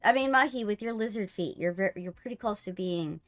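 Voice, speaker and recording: A woman's voice: mid-range voice, lively intonation, a little fast, faint music behind. A woman chatting casually on a livestream, with a playful, teasing manner. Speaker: streamer hosting a co-op visual novel playthrough.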